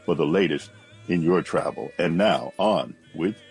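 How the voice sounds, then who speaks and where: A man hosting a morning radio show and reading announcements in a steady announcer's manner. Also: very deep voice, a little slow, loud music behind the voice. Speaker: radio host. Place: radio studio.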